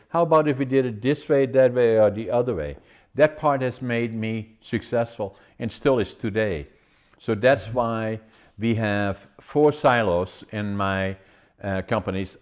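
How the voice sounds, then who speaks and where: A man from the Netherlands with a Dutch accent, a podcast host talking casually in a rambling way and restarting his phrases. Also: medium pitch. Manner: rambling, repeats words when restarting. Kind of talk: casual interview conversation.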